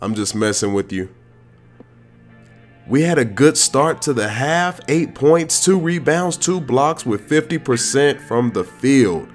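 A man giving excited, slangy commentary on his own video game play, full of playful trash talk. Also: mid-range voice, measured pace, faint music behind.

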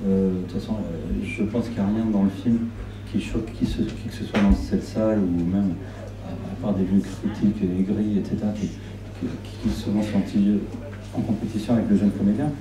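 A man's voice: on the low side, steady tone, normal speed. A middle-aged man from Argentina, whose first language is Spanish, speaking into a handheld mic at a small public event and often repeating words while he thinks. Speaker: film director. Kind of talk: public Q&A talk. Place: small shop event room indoors with audience. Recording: roomy, from a distant camera.

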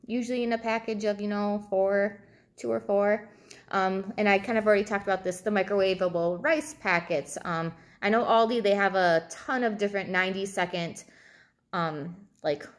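A woman in her thirties giving informal advice to camera as a coach, conversational and thinking aloud as she goes. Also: mid-range voice. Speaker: fitness and nutrition coach. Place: indoors, small room at home, seated at a table.

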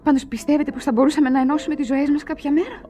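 A woman's voice: high-pitched, fairly even intonation, mellow, lightly acted. An actress playing a sweet, lovestruck well-born young woman in an old radio play, girlish and coaxing. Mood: wistful, coaxing. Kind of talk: old Greek radio theatre acting.

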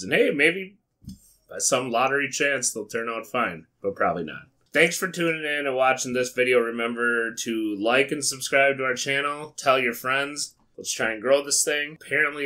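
An American man hosting a comedic read-aloud, dropping wry, sweary, deadpan asides in a casual way. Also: medium pitch. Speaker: YouTube host. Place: small indoor studio with foam panels.

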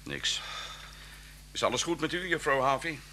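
An actor playing a stern, wary police commissioner in a radio drama, brusque and authoritative with clipped orders. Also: male voice, a little high, animated, moderate pace, close to an everyday voice. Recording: faintly noisy old radio recording with hum.

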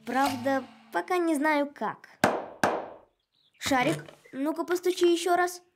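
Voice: high-pitched, takes it slowly. A performer voicing a thoughtful young boy with an earnest, mildly reproving manner in a children's cartoon. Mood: concerned. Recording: loud music behind.

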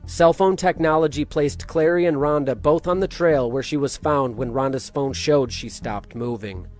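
A man narrating a true-crime case in a steady, matter-of-fact way. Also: on the high side, normal speed, soft background music.

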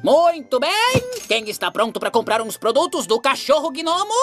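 A woman's voice: high voice, animated, moderate pace, music in the background. A woman voicing a pushy little male goblin vendor, showy and salesmanlike, in a cartoon fan dub.

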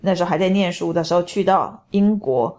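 A middle-aged woman, describing food and sights in a measured, enthusiastic travel voice-over. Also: lowish voice, warm tone. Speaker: travel vlog co-host. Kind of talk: casual travel commentary voice-over.

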